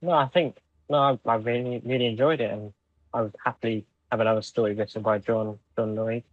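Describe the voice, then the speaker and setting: A man's voice: medium pitch, steady tone, fairly quick, no room sound, phone-quality sound. A man co-hosting a podcast, chatting casually as he reviews a story. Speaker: podcast co-host.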